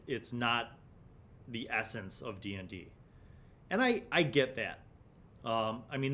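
A man's voice: medium pitch, fairly bright tone. Middle-aged man, casual and reflective. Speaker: host of a gaming magazine review series. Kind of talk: casual commentary with passages read aloud from an article. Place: small indoor studio set with shelves. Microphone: condenser mic on the desk in front of him.

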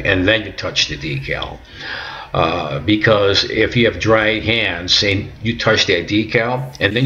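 Low-voiced man calmly demonstrating a workshop task. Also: highs cut off. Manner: calm, patient, repeats words, says "okay" often. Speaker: instructor demonstrating decal application. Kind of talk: step-by-step how-to narration. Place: home kitchen and workbench indoors.

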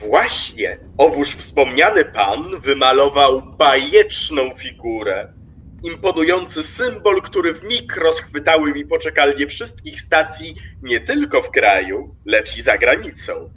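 Man acting pompous railway official, practical and self-satisfied, radio play, unhurried, muffled sound with faint noise. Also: a little high, sing-song, fairly bright tone. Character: smug, pragmatic railway stationmaster.

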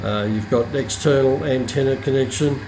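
A man giving an informal, unscripted product demonstration as a hobbyist reviewer, often pausing mid-sentence as he explains features. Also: medium pitch. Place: indoor room with hard countertop. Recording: roomy echo, clear background noise.

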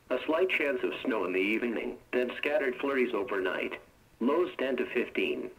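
A male text-to-speech announcer reads weather forecasts in a level, unhurried monotone. His pitch sits squarely in the ordinary male range, and each phrase comes out clipped and evenly paced, like an automated broadcast. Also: rough recording. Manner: steady, even, monotone delivery.

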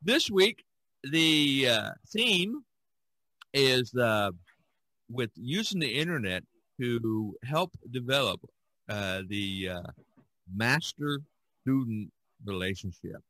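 An American man hosting a casual podcast chat, speaking in halting, hesitant phrases. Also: mid-range voice, big pitch swings, pushed and bright, no echo, low-quality recording. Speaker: podcast host.

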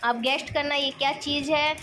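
A woman's voice: high-pitched, steady tone, moderate pace. A woman with a sore, scratchy throat, chatting through a cooking demonstration in her home kitchen and explaining each step. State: sore throat, clearing it often. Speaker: cooking vlogger. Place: home kitchen at a gas stove.

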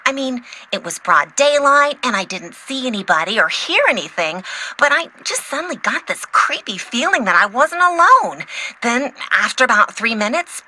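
A woman voicing an eager, quirky, chatty young insect scientist in a video game, excitable and easily sidetracked. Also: on the high side, full character voice, low-quality recording. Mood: uneasy. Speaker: game character, field entomologist. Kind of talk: animated video game voice acting.

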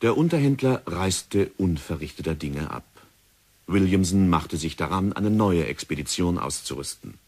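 Deep husky narrator, man, measured scripted reading. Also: expressive, darkish tone. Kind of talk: scripted radio drama narration.

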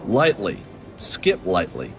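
A man's voice: sits low, huge pitch swings, slow, vocal fry, over music, heavily acted. A man playing a laid-back, sheepish space rogue in an audio drama, with a casual, hesitant drawl. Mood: smug. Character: laid-back roguish male space hero, sheepish charmer.

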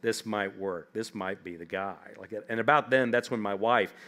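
A man in his fifties with a fairly low voice spins long, humorous anecdotes as a festival guest. He rambles easily, padding his stories with "like" and "you know", speaking from an indoor stage before a seated audience. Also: normal speed, pushed and bright. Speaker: author guest.

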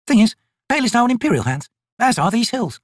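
A narrator playing a gruff, sharp-tongued woman corporal in an audiobook, suspicious and drawling. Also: medium pitch, big pitch swings, quick pace, dry recording, studio quality, pitched above the performer's own voice. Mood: curt, suspicious. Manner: drawling, snapping, sneering.

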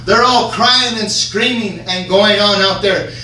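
Fervent high-voiced preacher man in echoey church. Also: unhurried. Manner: fervent, often shouting, with call-and-response. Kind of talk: sermon. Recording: echoey church room, from far off, faint hum.

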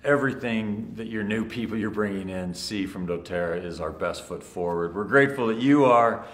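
Middle-aged executive man, low voice, upbeat congratulatory address, echoey office room. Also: moderate pace, fairly bright tone. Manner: upbeat and congratulatory, some fillers. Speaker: company executive. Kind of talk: scripted-free video address to sales leaders. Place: large bright office with tall windows, indoors. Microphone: standing before a fixed camera, two-shot.

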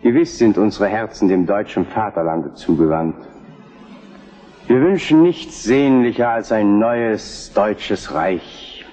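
Husky, fervent parliamentary orator. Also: male voice, lowish voice, expressive, warm tone. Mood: fervent, defiant. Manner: solemn, declamatory, deliberate phrasing. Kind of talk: historical radio drama oratory. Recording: muffled, long reverberant assembly hall.

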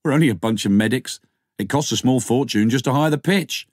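A man's voice: medium pitch, a little fast, darkish tone, breathy edge, dry recording, clean studio sound, pitched above the performer's own voice, natural voice. A man voicing a keen, slightly awkward thirties male doctor in an audiobook, chatty and tentative. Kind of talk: audiobook character dialogue.

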